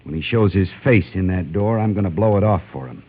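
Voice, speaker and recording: A man's voice: sits low, a little fast, slight background noise, lightly acted. An actor playing a vengeful, menacing Western gunman with a folksy drawl in an old radio drama. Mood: threatening. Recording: muffled vintage radio recording, phone-like.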